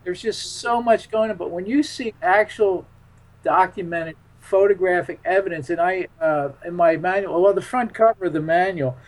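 A man's voice: high voice, moderate pace, rough recording. A man speaking as a guest in a casual radio interview, rambling and emphatic in his manner.

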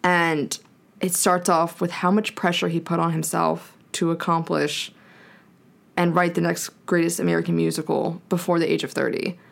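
Woman, 30, podcast co-host, low gravelly voice, casual reflective rambling. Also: flat delivery, moderate pace. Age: 30s. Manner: reflective, rambling with many likes. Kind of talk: casual chat.

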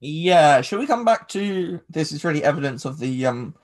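A man's voice: fairly high pitch, expressive, normal speed. An English man hosting a podcast, rambling through casual chat with lots of filler words. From England. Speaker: podcast host.

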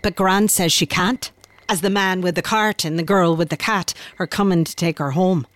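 A woman's voice: lowish voice, steady tone, moderate pace, dry recording, natural voice. An actress playing an Irish countrywoman who tells a tale of her gran in a chatty, confiding, folksy way for a radio drama. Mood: reminiscing. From Ireland. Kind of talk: conversational storytelling in radio drama.

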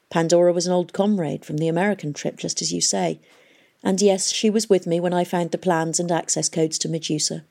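A woman's voice: fairly low pitch, mellow. A woman reading the part of a guilt-ridden middle-aged father who confesses to murder, pleading and miserable.

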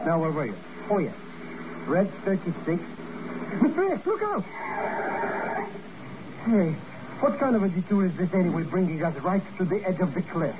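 An actor playing an excitable, chatty, nervous sidekick to a secret agent in a comic, complaining 1950s radio drama style. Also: male voice, high voice, normal speed, pauses often. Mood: alarmed. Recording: muffled, noisy old radio recording.

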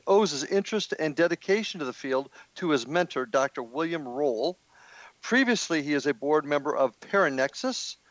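A man's voice: a little high, no room sound. A man hosting a radio talk show, speaking in a friendly way with fillers and restarts. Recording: dull lo-fi, dry sound.

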